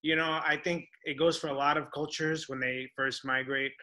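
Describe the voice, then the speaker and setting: A man hosts a relaxed interview, chatting easily and filling gaps with "you know". His voice is bright and forward. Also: a little high, normal speed. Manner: conversational, leaning on "you know". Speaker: interview host. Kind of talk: casual interview chat.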